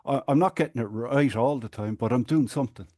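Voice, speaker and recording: A man from Ireland with an Irish accent, a guest speaking in a measured, explanatory way with small restarts. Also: mid-range voice, mellow, no echo, studio quality. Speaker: guest, support group administrator. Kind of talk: earnest conversational interview.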